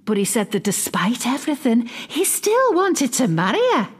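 A woman playing a possessive middle-aged mother in a confiding dramatic monologue, smug, mock-innocent and quietly malicious. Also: fairly high pitch, big pitch swings, moderate pace, breathy edge. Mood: sly, mock-innocent. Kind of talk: dramatic monologue, confiding fiction podcast narration.

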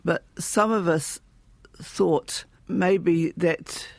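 A man, chairman of a project group, slowly recalling events in an interview with pauses. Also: sits high, steady tone, creaky, no room sound. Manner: slow, pausing recollection. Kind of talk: interview recollection.

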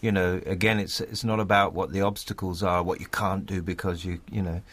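A man's voice: low-pitched, steady tone, fairly quick, fairly bright tone. A man talking earnestly and articulately in an interview, often repeating phrases for emphasis. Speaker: playwright. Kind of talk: thoughtful interview talk.

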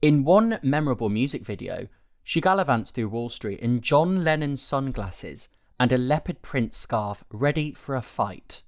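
A man narrating a written article in a measured, articulate way. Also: medium pitch, lively intonation, darkish tone, no room sound, clean studio sound. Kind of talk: scripted audio article narration.

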